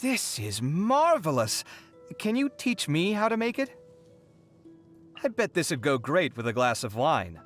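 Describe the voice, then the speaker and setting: Game voice: a proud young artist, very lively pitch, music under it. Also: male voice, unhurried, full character voice. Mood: expressive. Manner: expressive and theatrical. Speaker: game character. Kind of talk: game character voice lines.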